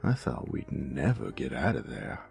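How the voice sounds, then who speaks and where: A man playing a flirtatious, doting roommate and lover in an intimate ASMR roleplay, with a teasing, folksy drawl and breathy, whispery moments. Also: big pitch swings, normal speed, darkish tone, soft background music, exaggerated voice. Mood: playful, upbeat. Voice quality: very deep, gravelly, breathy whispers.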